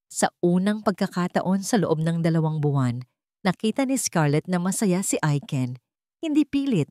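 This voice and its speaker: A woman's voice: lowish voice, animated, moderate pace, mellow, dry recording, studio quality. A woman with a Filipino accent telling a romantic drama as a scripted storyteller.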